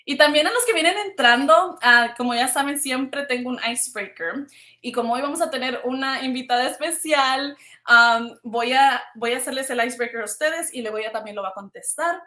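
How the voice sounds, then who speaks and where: A woman's voice: high-pitched, brisk, sharp tone. A Mexican woman hosting a casual livestream, chatting informally with viewers and switching between two languages. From Veracruz, Mexico. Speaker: livestream host. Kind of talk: casual live chat with viewers. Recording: roomy, echoing livestream sound.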